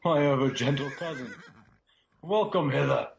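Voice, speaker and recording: A man's voice: a little high, moderate pace, creaky. A man playing an emotional, grave nobleman in a Shakespearean radio drama, speaking dramatic verse. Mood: grave, cordial. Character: Macduff, a grief-stricken Scottish nobleman turned vengeful.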